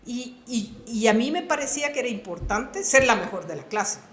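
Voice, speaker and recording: Costa rican lady in her 60s, kinda high voice, earnest and emphatic, echoey room w faint hiss. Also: animated, moderate pace, a bit bright. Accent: Costa Rican Spanish. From Costa Rica. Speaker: guest, retired computing professor. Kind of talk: interview answers.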